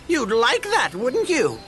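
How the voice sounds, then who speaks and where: An actress playing a small, composed, dryly superior female mage in a fantasy game, speaking in a formal, measured, arch manner. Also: high-pitched, fairly bright tone, exaggerated voice. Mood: dry, teasing.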